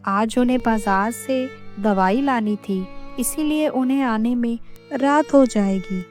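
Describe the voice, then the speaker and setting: A woman voicing an earnest, worried, devoted fisherman's wife and mother in an animated moral story. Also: fairly high pitch, measured pace, faint music behind, natural voice. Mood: soothing.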